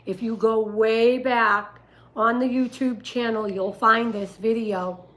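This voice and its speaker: An older woman with a rough voice, telling stories and giving advice casually on a livestream, in an emphatic, deliberate way. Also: fairly high pitch, steady tone, a bit bright. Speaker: dog breeder and livestream host.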